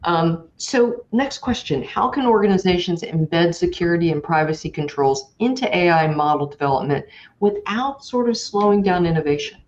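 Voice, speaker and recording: A woman's voice: fairly low pitch, animated, moderate pace, a little mellow, muffled recording. A woman hosting a conversational interview, affirming her guest and checking in as she makes her points. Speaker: podcast host, interviewer.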